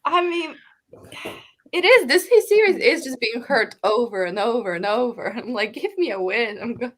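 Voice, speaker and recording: A woman's voice: a little high, expressive, moderate pace, a bit bright. A woman co-hosting a casual book discussion over a video call, rambling with lots of "like" fillers. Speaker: book podcast co-host.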